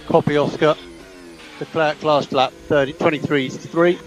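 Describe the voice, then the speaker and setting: A man's voice: on the high side, fairly even intonation, unhurried, rough recording. A man working as a race engineer, giving clipped instructions over team radio to a driver and repeating key calls. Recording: team radio with music behind.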